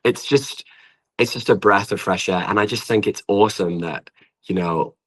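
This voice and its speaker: A man's voice: lowish voice, normal speed. A man from the United Kingdom talking casually about music as a podcast guest. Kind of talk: casual chat.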